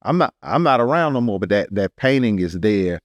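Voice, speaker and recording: A man's voice: lowish voice, very animated, brisk, no room sound. A man talking casually on a podcast, in a slangy, plain-spoken way. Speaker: podcast guest, muralist. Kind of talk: casual conversational podcast talk.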